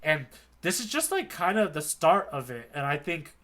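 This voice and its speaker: A man's voice: a little high, big pitch swings, normal speed, pushed and bright. A man co-hosting a podcast in casual chat about manga, joking and acting out imagined quotes. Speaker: podcast co-host.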